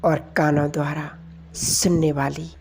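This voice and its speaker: A woman leading a guided meditation commentary in a calm, soft manner with long pauses. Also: low voice, a little hiss or hum. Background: background music.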